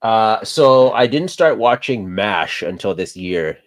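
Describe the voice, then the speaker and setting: A man co-hosting a casual chat, relaxed and rambling, laughing as he talks. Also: on the low side, takes it slowly, no echo. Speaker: co-host.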